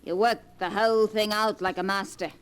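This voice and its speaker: An actress playing an elderly, coolly mocking, vengeful grandmother in 1940s radio style, deliberate and sardonic. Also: mid-range voice, steady tone, smooth, close to an everyday voice. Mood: dryly knowing.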